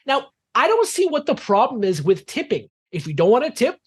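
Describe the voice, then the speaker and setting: A man's voice: very high-pitched, wildly swooping pitch, brisk, breathy edge. A man speaking as a panelist in conversational debate, repeating words as he works through his argument.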